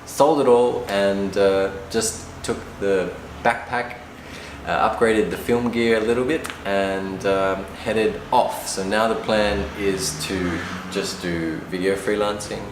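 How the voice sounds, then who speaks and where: A man from Australia, a musician, talking casually about his life in a relaxed, wry, self-deprecating way. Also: lowish voice, slow pace. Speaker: musician interviewee. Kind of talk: casual storytelling to camera. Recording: roomy echo with background noise.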